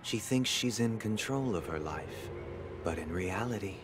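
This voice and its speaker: Very deep, composed persuasive gentleman. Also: expressive, unhurried, over music. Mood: cool, persuasive. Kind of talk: dramatic video game voice acting.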